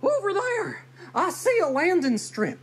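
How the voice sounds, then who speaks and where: A squeaky, excitable country gal. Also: female voice, unhurried, full character voice. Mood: excited. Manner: folksy, exclamatory. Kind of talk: live comic radio theatre acting.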